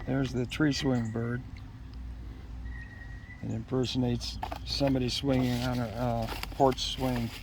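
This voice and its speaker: A man chatting casually through an unboxing, unscripted and halting as he thinks aloud. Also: mid-range voice, level tone, noisy background. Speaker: product unboxer.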